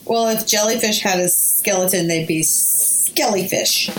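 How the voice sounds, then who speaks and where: A woman's voice: medium pitch, measured pace, noisy background. A woman chatting casually as a podcast co-host, giggly and teasing. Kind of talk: casual chat.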